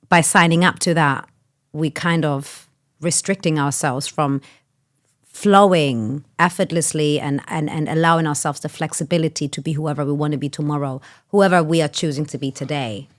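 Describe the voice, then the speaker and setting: A woman's voice: low-pitched, steady tone, moderate pace. A woman hosting a podcast, thoughtful and searching for her words as she asks open questions. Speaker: podcast host. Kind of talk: casual podcast conversation.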